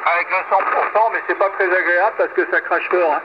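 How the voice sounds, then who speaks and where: High-pitched man over crackly shortwave radio. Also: fairly even intonation, fast, lo-fi sound. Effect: shortwave single-sideband radio. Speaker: amateur radio operator. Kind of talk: casual radio chat.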